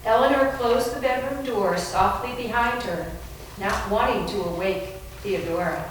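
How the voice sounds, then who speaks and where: A woman's voice: on the high side, unhurried. A woman narrating a scripted story in a dramatic readers theater reading. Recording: very echoey room, distant mic, faint noise.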